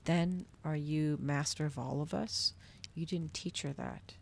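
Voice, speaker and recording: A woman voicing an earnest male vampire who reasons insistently in a soft ASMR storybook reading. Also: low voice, fairly even intonation, a little slow, creaky, heavily acted.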